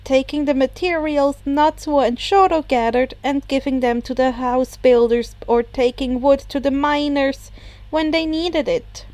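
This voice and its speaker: A woman reading fan fiction aloud as an expressive scripted narration. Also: high voice, a little slow, no echo. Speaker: podfic narrator.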